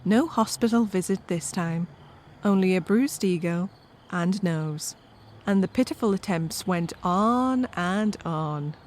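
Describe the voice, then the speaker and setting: A woman's voice: lowish voice, slow pace, darkish tone, a little hiss or hum. A woman narrating a scripted comic story with wry, conversational asides to the listener.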